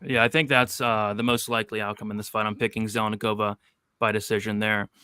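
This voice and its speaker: A man's voice: on the low side, flat delivery, fast, bright, forceful tone, clean tone, no echo. A man in his twenties hosting a casual betting livestream, reading chat questions and joking loosely. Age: 20s. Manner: loose, jokey, reads chat questions aloud. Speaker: host of a betting show.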